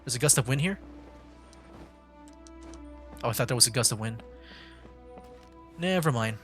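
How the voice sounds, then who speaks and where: A man's voice: medium pitch, animated, moderate pace, fairly bright tone. A man streaming a game, giving casual live commentary, thinking aloud with mild exclamations. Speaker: streamer, VTuber gamer. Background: faint game music.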